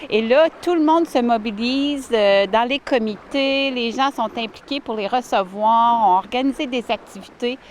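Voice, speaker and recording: A woman, answering interview questions warmly and earnestly outdoors by a lake, with many fillers and restarts. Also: fairly high pitch, normal speed, few pauses, slight background noise. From Ottawa, Canada. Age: 40s. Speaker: village mayor, interviewee.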